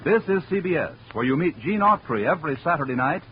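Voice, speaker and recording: A man's voice: a little high, lively intonation, quick pace, a little hiss or hum. A man working as a network announcer, reading scripted credits and notices in 1950s radio style. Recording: muffled old radio broadcast, faint music.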